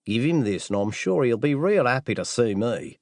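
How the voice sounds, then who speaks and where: A man's voice: mid-range voice, sing-song, normal speed, a little mellow, no echo, studio quality. A man voicing a brash, confident male assassin with an Australian accent and a breezy manner, in an audiobook thriller. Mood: cocky, breezy. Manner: breezy, cocky.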